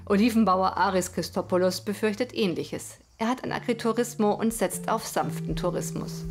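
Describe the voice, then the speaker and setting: A woman's voice: mid-range voice, normal speed. Woman narrating a TV report over music. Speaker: news reporter.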